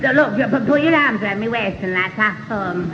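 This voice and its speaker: An actor playing a dim, eager, flustered young lad who stutters in bursts, in a 1970s radio sitcom. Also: male voice, high-pitched, expressive, a little fast, in a large hall. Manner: stuttering, repeats words in bursts. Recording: dull old radio recording, loud engine noise.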